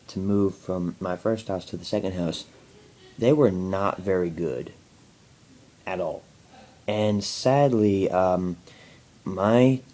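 A young man answering viewer questions in a casual home video, talking haltingly with a stutter, many fillers and restarts. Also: low-pitched, mellow. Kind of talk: casual Q&A talk to camera.

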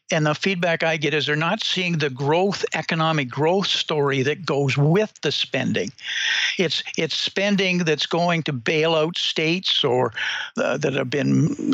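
A man's voice: on the high side, vocal fry, fairly bright tone, dry recording, lo-fi sound. An older man, a guest economic analyst, answering interview questions in a rambling way with many restarts and repeated words.

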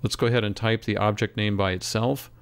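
A man narrating a software tutorial as an instructor, in a measured, step-by-step way. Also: fairly low pitch, fairly even intonation. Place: close, dry voice-over for screen recording.

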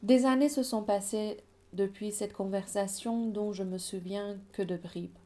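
A woman from Iran whose first language is Persian narrates a scripted voice-over. Her tone is mellow and warm, her pace very slow and reflective. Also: medium pitch, fairly even intonation.

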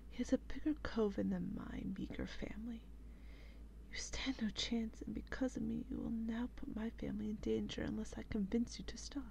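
A woman playing an exasperated, protective female vampire in a breathy, whispery ASMR role-play, with slow and dramatic reproach. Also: on the high side, steady tone, a little mellow, a little hiss or hum. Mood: irritated, weary.

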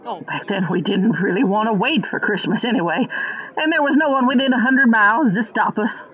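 A woman's voice: fairly high pitch, fairly quick, a bit bright, dull audio, lightly acted. Woman acting a preachy, folksy small-town matriarch. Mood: nostalgic. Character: pious, nostalgic small-town matriarch of rigid views. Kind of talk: satirical radio play acting.

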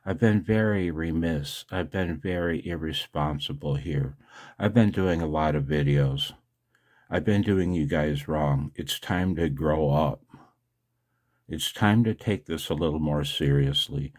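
A man's voice: rumbling low, a little slow, darkish tone. A man talking casually and self-deprecatingly to his viewers in a rambling monologue, as host of a video channel. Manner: self-deprecating, chuckles often.

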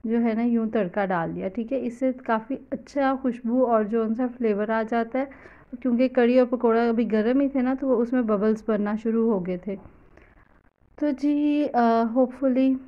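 A woman's voice: a little high, dull audio. A woman with a Pakistani Urdu accent, talking through a recipe in a loose, chatty, explanatory way and mixing in English words, recorded on a phone in a home kitchen. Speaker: cooking vlogger. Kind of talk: casual cooking voice-over.

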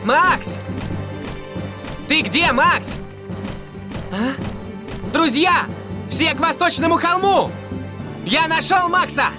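Brave young rescue robot leader, really high earnest voice, cartoon dub, music behind. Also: male voice, lively intonation, slow pace, long pauses, heavily acted. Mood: urgent. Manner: earnest, encouraging. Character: heroic young police car robot, earnest team leader.

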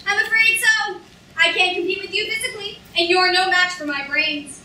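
Woman playing a shrill, arrogant little villain. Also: normal speed, sharp tone, heavily acted. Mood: smug, defiant. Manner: imperious, boastful. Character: small arrogant villainous male schemer, self-proclaimed genius. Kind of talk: amateur staged reading of a comic fantasy play. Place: on stage in a hall, audience camera. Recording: roomy distant stage recording, faint noise.

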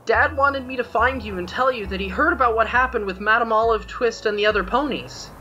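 An earnest young cartoon pony magician voiced by a woman, pleading and quick to defend themselves. The voice is bright and somewhat high, with music playing behind it. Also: lo-fi sound. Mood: earnest, hesitant. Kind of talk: cartoon fan comic dub.

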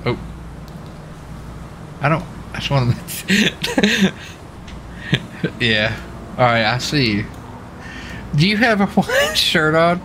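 A man's voice: on the high side, wildly swooping pitch, slow, long pauses, sharp tone, slight background noise. An American man in casual gaming radio chatter, with a folksy, easygoing manner. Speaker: streamer playing a police officer in online roleplay.

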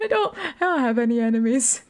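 A young woman from the north of England with a softened northern accent, chatting casually and giggling as a streamer while she plays and reads chat aloud. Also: high-pitched, sing-song, fairly quick, creaky. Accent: Northern English, softened. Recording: game music behind the voice.

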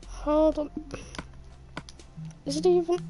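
A woman with a croaky voice from being sick, casually commenting on her gameplay, muttering to herself and mock-frustrated. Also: high voice, level tone, slow, mellow. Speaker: gaming youtuber.

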